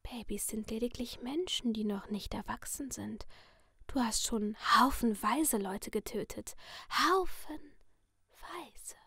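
Woman voicing a masked killer, man, hushed whisper, slow and toying, dry close sound. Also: fairly high pitch, husky, dry recording, heavily acted. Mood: mock sorrow. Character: sinister masked male killer, softly menacing.

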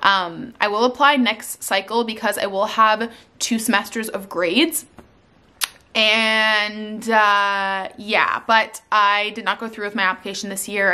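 A woman's voice: mid-range voice, sharp tone. A young woman from Canada chatting casually on her vlog, with lots of "like" and "um", sitting on her living room sofa. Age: young adult. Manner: chatty, many "like"s and "um"s. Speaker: vlogger. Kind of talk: casual life-update vlog. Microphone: camera in front, seated.

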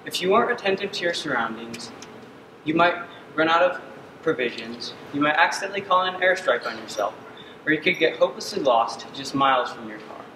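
A young man from Uganda gives an earnest student presentation, his slightly high voice dotted with ums. He is picked up from a distance in a large hall with a long, roomy reverb. Steady ambient noise sits clearly under him. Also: a little fast. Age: young adult. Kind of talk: senior capstone presentation. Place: dark stage in a hall.

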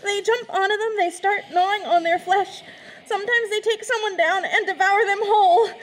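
Woman playing a frantic field reporter, very high voice, tearful stammering, radio drama, background noise. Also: normal speed, a bit bright, low-quality recording. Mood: shaken, horrified. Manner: breathless, stammering, near sobbing. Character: panicked female field reporter trapped by zombies. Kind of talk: live radio drama, comic horror.

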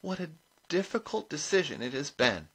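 Shrill, haughty witch woman. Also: huge pitch swings, brisk, slightly rough, heavily acted. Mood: falsely bright. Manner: forced brightness, indignant. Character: haughty, brittle female witch, easily enraged.

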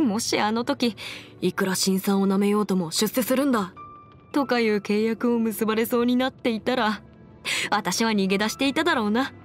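A woman voicing a confident, brisk young half-immortal lawyer in an anime game, with crisp, formal phrasing and music behind her. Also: on the high side, close to an everyday voice. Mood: fond. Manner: crisp, self-assured, formal mannish phrasing. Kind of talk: scripted anime game character voice lines. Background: background music.

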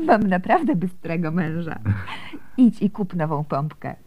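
An actress playing a sick, feverish young mother, gentle, soft and weak, in a Polish radio drama. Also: lowish voice, expressive, a little slow, background noise. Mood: weak, tender.

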